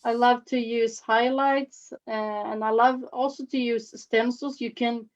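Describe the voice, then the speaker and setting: A woman from Sweden with a Swedish accent, giving an informal demonstration as a face painting instructor, with halting phrasing. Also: on the high side, flat delivery. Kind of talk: informal live demonstration with commentary. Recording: slightly dull, lo-fi webinar audio.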